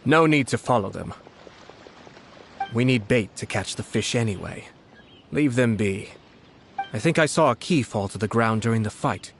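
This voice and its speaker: A man playing a shrewd, unflappable young nobleman in an anime-style game, speaking in a measured, polite, formal way. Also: medium pitch, animated, slight background noise, close to an everyday voice. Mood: calm.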